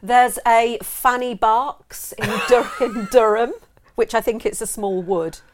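A woman's voice: on the high side, steady tone, takes it slowly, over music. A middle-aged English woman co-hosting a podcast, chatting casually and giggling as she reads out odd facts. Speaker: podcast co-host. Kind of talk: casual chat.

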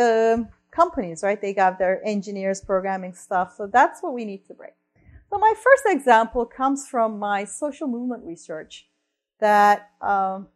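A woman from Turkey, a researcher and columnist, giving a lecture-style talk, thinking aloud with frequent "right?" and restarts. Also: mid-range voice.

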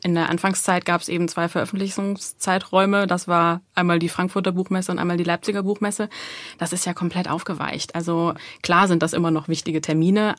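A female interview guest explains things conversationally in long, linked sentences. Her voice is on the low side, and the recording is close and dry. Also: dry recording. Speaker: talent agency manager, interview guest.

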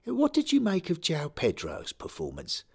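A man voicing a gentle 92-year-old manager who speaks softly and hesitantly, stammering at times. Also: on the high side, huge pitch swings, moderate pace, creaky, husky, pitched above the performer's own voice, heavily acted. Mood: soothing. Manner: hesitant, soft, stammering, fatherly.

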